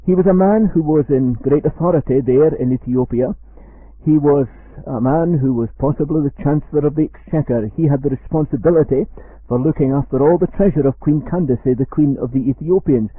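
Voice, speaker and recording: A man with a Scottish accent, giving an earnest, measured radio sermon. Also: fairly high pitch, husky, no echo. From Scotland. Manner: measured, earnest preaching, slows for quoted verse. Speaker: preacher. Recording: dry, tinny phone-like radio sound.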